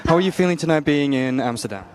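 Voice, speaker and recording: A man's voice: fairly high pitch, expressive, fast talker, crowd noise. A man from Sweden with a Swedish accent, chatting casually as a red carpet interviewer.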